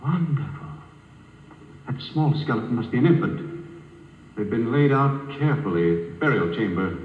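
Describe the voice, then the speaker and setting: An actor playing an eager, excitable spaceship scientist who muses aloud in a 1950s radio drama. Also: male voice, mid-range voice, moderate pace, close to an everyday voice. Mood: fascinated. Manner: excitable, musing, hesitant when thinking aloud. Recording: muffled, hissy, reverberant 1950 radio broadcast.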